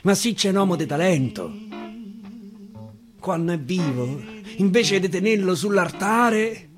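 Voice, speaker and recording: A man's voice: high-pitched, a little slow. A man reciting dialect verse dramatically on radio, musing and repeating phrases for emphasis. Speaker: actor. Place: radio studio. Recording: guitar accompaniment throughout.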